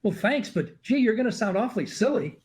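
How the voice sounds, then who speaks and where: An actor playing a naive, eager young male sidekick in 1940s radio comedy, with a high, husky voice. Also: sing-song, brisk, a little mellow, heavily acted. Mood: puzzled.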